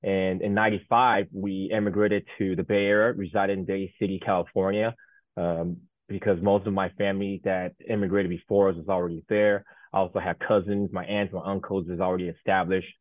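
A man's voice: deep voice, flat delivery, brisk, dry recording. Man from Taiwan on a tinny line. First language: Mandarin. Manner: rambling, many fillers and restarts. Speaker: guest, Paralympic sled hockey goaltender. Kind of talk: casual interview chat.

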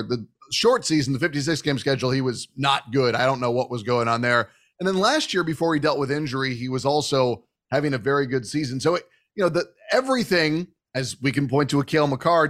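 A man with a North American accent hosting a sports show, giving casual conversational analysis. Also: a little high.

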